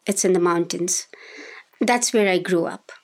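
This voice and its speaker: A woman in her sixties from India, answering interview questions in a thoughtful, hesitant way with many pauses and restarts. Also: on the low side. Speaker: guest, domestic violence shelter worker.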